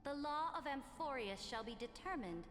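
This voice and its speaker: A woman's voice: sits high, expressive, moderate pace. A woman playing a proud, ruthless conquering empress in a video game, with a grand, commanding delivery. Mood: proud, resolute. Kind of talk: dramatic video game voice acting. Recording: long reverb, game music underneath.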